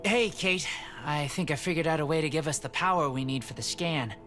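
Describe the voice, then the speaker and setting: An earnest spaceship engineer, acted by a man for a video game. His pitch is a little high and his talk is plain and conversational. There is some music in the background. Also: brisk, fairly bright tone, close to an everyday voice. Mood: tentative, keen. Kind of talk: cinematic video game acting.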